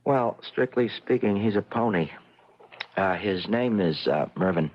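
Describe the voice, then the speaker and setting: A sheepish, henpecked husband in an old radio drama. His voice swings up and down, slightly husky, as he stammers and placates. The recording is dull and lo-fi. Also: fairly low pitch, expressive, unhurried. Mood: sheepish, flustered. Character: timid, sheepish, henpecked working-class husband and horse lover. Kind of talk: old-time radio comedy drama acting.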